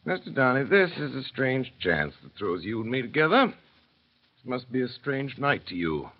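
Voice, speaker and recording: An actor playing a dissolute, bitter, hard-drinking gentleman in an old radio drama, with a slurred, rambling, sardonic drawl. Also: medium pitch, very animated, normal speed. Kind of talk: 1930s radio drama acting. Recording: muffled old radio broadcast.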